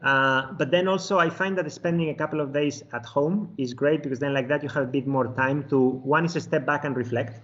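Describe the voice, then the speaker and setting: A man in his forties from Spain with a Spanish accent, giving relaxed interview answers with frequent chuckles and fillers. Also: fairly high pitch, a little fast, hardly any pauses, highs cut off. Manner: chuckles often, many fillers and restarts. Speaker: guest, finance executive.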